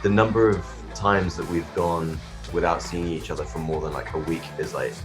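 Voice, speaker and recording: Very low-pitched laid-back young-band guy. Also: steady tone, moderate pace, music in the background. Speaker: band member being interviewed. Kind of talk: casual chat.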